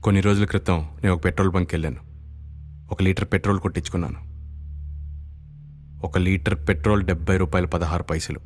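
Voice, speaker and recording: An actor playing a defiant, righteous common man in a film, arguing in measured, sarcastic rhetorical questions over a score. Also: deep voice, fairly even intonation, soft background music, lightly acted. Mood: calm, sardonic. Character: defiant common man holding a leader hostage, sardonic, righteous. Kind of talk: Telugu film dialogue, argumentative monologue.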